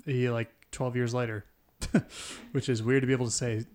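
A man's voice: mid-range voice, level tone, normal speed, a little mellow. A man in his twenties co-hosting a podcast, chatting casually, rambling and chuckling often. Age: 20s. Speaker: podcast co-host. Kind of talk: casual chat.

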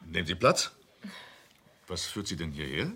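An actor playing a brisk, formal school director in a German radio drama, speaking in a clipped, authoritative way. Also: male voice, low voice, sing-song, pushed and bright, slightly rough, heavily acted. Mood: brisk, official. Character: Walter Gropius, brisk formal Bauhaus director, softening to curiosity.

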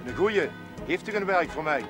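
A man's voice: a little high, animated, normal speed, music in the background, close to an everyday voice. A man playing a plain-spoken village carpenter looking for work in a television drama, direct in manner. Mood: hopeful. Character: eager, then resentful village carpenter seeking work. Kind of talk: 1970s television drama acting.

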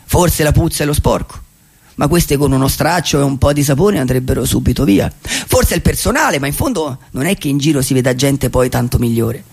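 An actor playing a wry, dreamy male vagabond in a poetic radio monologue, musing aloud with rhetorical questions and deliberate pauses in a husky, gravelly voice. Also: mid-range voice, a little mellow. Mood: musing, indignant. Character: wry, dreamy male vagabond storyteller, society's gentle outcast.

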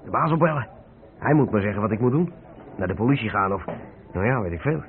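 A man's voice: on the low side, animated, fast, slight background noise, natural voice. A man acting a cautious, fatherly older lorry driver in a Dutch radio play. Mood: urgent, guarded. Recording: tinny, band-limited old radio recording.

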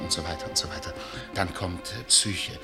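An actor playing a bossy, wry middle-aged theatre director in a German radio drama, swinging between muttered asides and barked orders. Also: male voice, deep voice, steady tone, normal speed, over music. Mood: brisk, pleased.

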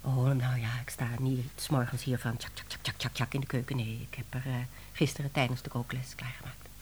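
An actress playing a wry, harried middle-aged woman editor in a Dutch radio play, expressive and exclamatory. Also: very deep voice, fairly quick, a little hiss or hum. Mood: reassuring.

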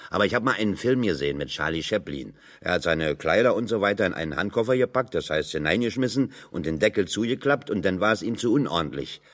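An actor playing a sardonic, dry-witted working man in German radio drama dialogue, speaking colloquially and ironically. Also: low voice.

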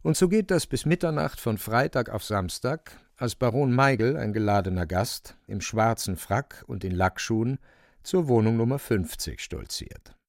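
Animated, creaky low male narrator. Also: normal speed, no room sound, studio quality. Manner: wry, storyteller's colloquial asides. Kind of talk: scripted radio play narration.

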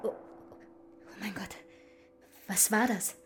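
A woman plays an anxious, shaken small-town woman in a radio thriller. Her mid-pitched voice is hesitant and breathy. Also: steady tone, slow pace, lightly acted. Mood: frightened.

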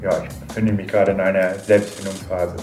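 A man's voice: low-pitched, completely flat delivery, brisk, music in the background, close to an everyday voice. A man playing a terse, stiff unemployed sociologist in a naturalistic radio drama. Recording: roomy, echoing sound.

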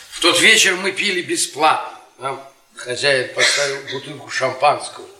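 An actor playing a bitter, worn-down middle-aged history professor, a sardonic storyteller speaking in deliberate, theatrical radio-drama style. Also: male voice, medium pitch, bright, forceful tone. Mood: hushed, reflective. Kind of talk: Soviet radio theatre acting, dramatic monologue. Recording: roomy stage-like echo, distant from microphone.

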